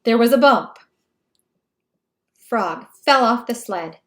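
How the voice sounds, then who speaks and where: A woman reading a children's storybook aloud in an expressive, emphatic narrating style. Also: fairly high pitch, slow pace. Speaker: storybook reader.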